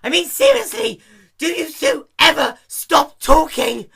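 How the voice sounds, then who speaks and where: Man putting on a young female cartoon pony, high strained pitch, forceful, whiny, dramatic, exasperated. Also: very animated, a little slow, vocal fry, full character voice.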